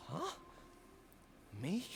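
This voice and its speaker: A man's voice: drawn-out words, background noise. An actor playing a troubled, self-doubting young man in a video game, with a hesitant, emotive delivery. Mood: bewildered. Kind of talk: scripted video game voice acting. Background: game music.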